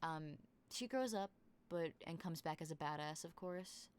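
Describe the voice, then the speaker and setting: A woman's voice: low voice, a little slow, clear, resonant tone. A young woman in casual voice-call chat as a tabletop game player, rambling with many fillers. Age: young adult.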